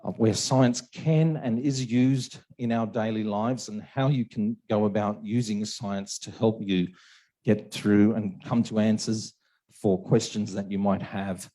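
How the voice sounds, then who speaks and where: A man's voice: on the low side, fairly even intonation, unhurried, mellow. A man lecturing on a university module at an open house, talking with a sore throat and a tired voice, checking in often with "okay" and "right". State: sore throat, losing his voice.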